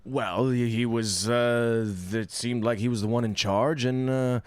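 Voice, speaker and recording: A man playing in a tabletop game, answering in a defensive, hesitant, halting way. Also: medium pitch, steady tone, darkish tone, clear, resonant tone, no echo. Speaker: player.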